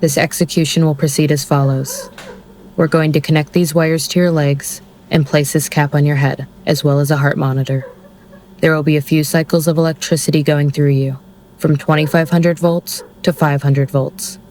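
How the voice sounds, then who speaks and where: An actor playing a curt, by-the-book executioner in an audio drama, formal and deadpan. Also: mid-range voice, moderate pace, slight background noise, lightly acted. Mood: procedural.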